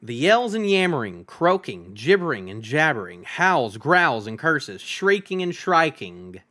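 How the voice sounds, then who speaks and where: A man's voice: fairly high pitch, big pitch swings, measured pace, pushed and bright. A man reading a fantasy novel aloud as a streamer, in dramatic storybook narration.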